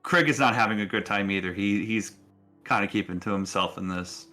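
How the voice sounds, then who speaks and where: A man narrating a tabletop roleplaying game as dungeon master, halting and repeating words as he goes. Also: lowish voice, bright, forceful tone. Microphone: online group call. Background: music.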